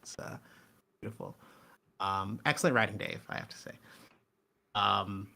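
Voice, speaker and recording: A man hosting a casual live commentary on an audio drama, talking haltingly and often interrupting himself. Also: on the low side, vocal fry, bright, forceful tone. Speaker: host and co-creator of an audio drama.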